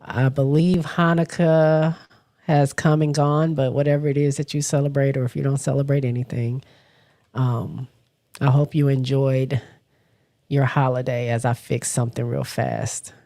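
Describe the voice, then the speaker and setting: Raspy woman host fighting off a cold, stuffy and hoarse, halting delivery with frequent throat-clearing, casual live chat with viewers. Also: rumbling low, little pitch movement, mellow. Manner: halting, often stops to clear her throat. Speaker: livestream host. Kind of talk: casual livestream chat, reading viewer comments.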